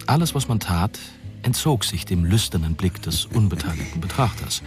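The narrator of a dystopian radio play. His lowish voice is measured and dry, telling the tale with wry detachment while music plays behind him. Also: expressive. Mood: detached, wry. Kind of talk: scripted radio drama narration.

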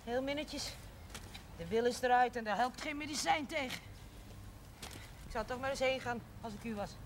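An actress playing a bossy but caring priest's housekeeper in a television drama, blunt and homely in manner. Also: female voice, on the high side, moderate pace, lightly acted. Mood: grumbling, concerned. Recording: faintly noisy 1970s television soundtrack.

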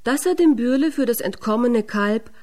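A woman's voice: fairly high pitch, lively intonation, darkish tone. Woman narrating a fairy tale. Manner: measured storyteller delivery.

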